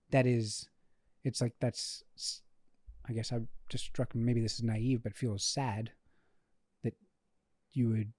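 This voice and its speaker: A man's voice: mid-range voice, a little mellow, slightly rough, no room sound, studio quality. A man co-hosting a faith podcast, talking earnestly and hesitantly, often restarting his sentences. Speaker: podcast co-host. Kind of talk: casual podcast conversation.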